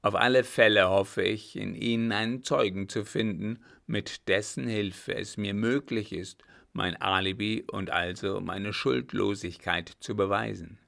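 A man voicing a grim, vengeful army captain who talks in a forceful, harsh, clipped way. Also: fairly low pitch, fairly even intonation, a little slow, gravelly, hardly any pauses. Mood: scornful.

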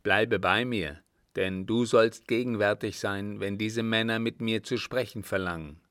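A man's voice: fairly low pitch, fairly even intonation, measured pace, a bit bright, no room sound, clean studio sound. A man voicing a grave, commanding old sheikh in an audiobook, speaking in a solemn, formal and emphatic way.

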